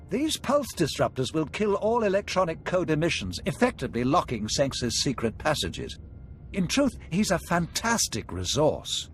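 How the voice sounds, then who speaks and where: A man's voice: mid-range voice, natural voice. A man playing a scheming, courtly Sith lord in a video game, urbane and theatrical, savoring his words.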